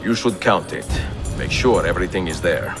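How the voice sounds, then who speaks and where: An actor playing a wry, composed, middle-aged master assassin in a video game, with an Italian accent and measured, courtly delivery. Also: male voice, sits low, music in the background. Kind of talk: cinematic video game acting.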